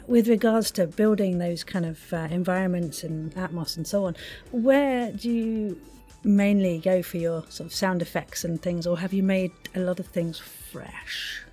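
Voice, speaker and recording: Woman podcast host, rambling, fairly low voice. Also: dark tone. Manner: rambling, effusive. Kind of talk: casual interview chat.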